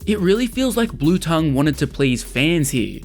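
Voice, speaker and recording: A man's voice: a little high, very animated, moderate pace, faint music behind. A young adult man narrating a scripted video essay as a game reviewer and host.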